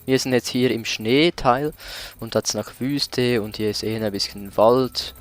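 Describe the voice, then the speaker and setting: A man's voice: medium pitch, measured pace. Man casually commentating a video game, often hesitating. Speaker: let's play host.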